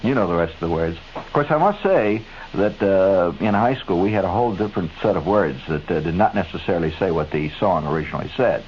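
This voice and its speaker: A man's voice: low voice, animated, fairly quick, few pauses, dull audio. Wry, rambling American radio man. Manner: wry, digressive, chatty asides to studio crew. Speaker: radio host. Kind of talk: rambling late-night radio monologue. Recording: hissy 1970s AM radio broadcast.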